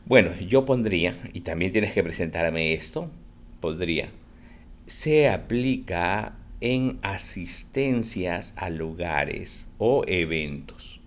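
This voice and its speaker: A male school teacher giving a recorded math lesson for children, explaining slowly and patiently and answering his own questions. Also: low voice, lively intonation.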